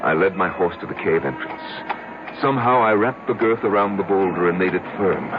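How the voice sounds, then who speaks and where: An actor playing a haunted man confessing his strange past, with halting, repetitive, dramatic delivery in an old-time radio drama. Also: deep voice, animated, over music. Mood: intense, haunted. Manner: halting, repeating, dramatic pauses. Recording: noisy, dull old radio broadcast.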